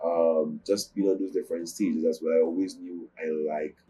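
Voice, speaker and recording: Young Nigerian man, Yoruba native, low and dark voice, measured and earnest interview guest. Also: flat delivery, a little hiss or hum. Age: young adult. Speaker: guest musician. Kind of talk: interview answers.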